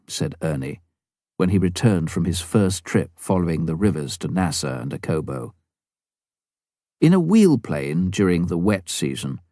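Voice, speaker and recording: A man narrating an audiobook memoir from a script, in a measured, expressive storytelling manner with deliberate phrasing. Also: deep voice, no echo, studio quality.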